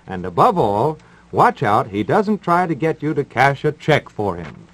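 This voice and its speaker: A man hosting and announcing in 1950s radio style, wry and formal, with deadpan delivery. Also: medium pitch, measured pace, rough recording. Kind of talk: 1950s radio patter, mock-serious advice and live ad reads.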